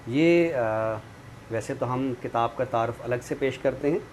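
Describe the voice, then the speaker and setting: A man's voice: medium pitch, unhurried, a little mellow. A man with a Pakistani accent discussing language and literature as an expert, explaining didactically with examples. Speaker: literary commentator and guest expert on a talk show. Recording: clear background noise.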